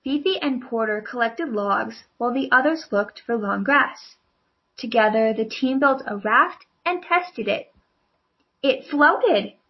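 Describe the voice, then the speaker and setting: A woman's voice: a little high, animated, measured pace, muffled recording. A woman narrating a children's storybook as a scripted read-along.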